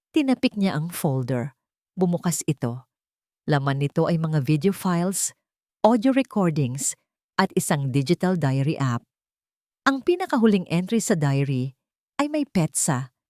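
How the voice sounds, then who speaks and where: Female narrator for audio drama. Lowish register, animated intonation, suspenseful phrasing, dry studio-like sound. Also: no room sound. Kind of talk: dramatic scripted story narration.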